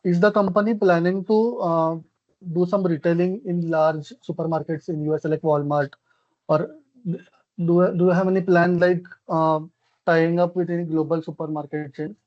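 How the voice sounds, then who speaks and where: A caller asking an investor's questions on an earnings call, politely and haltingly. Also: mid-range voice, fairly even intonation, darkish tone. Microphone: conference call connection. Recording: muffled conference call line.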